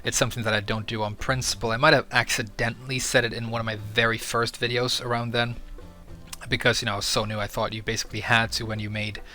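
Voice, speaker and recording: A man's voice: medium pitch, level tone, quick pace, bright, forceful tone, faint music behind. A young man from Sweden talking candidly to camera as a video creator, earnest and a little hesitant with fillers. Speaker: YouTuber. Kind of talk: casual talk to camera.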